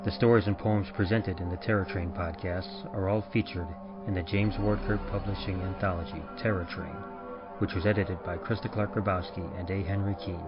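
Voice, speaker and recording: A man, the podcast's host and narrator, reading production credits in a measured, even announcer style. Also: low voice. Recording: dull lo-fi with music behind.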